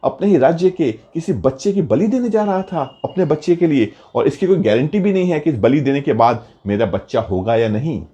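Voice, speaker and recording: A man's voice: fairly high pitch, big pitch swings, a little fast, hardly any pauses, mellow, dull audio, voice pushed up. A man voicing a childless king in a folk story, with a commanding, questioning manner. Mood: remorseful. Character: childless king, desperate for an heir.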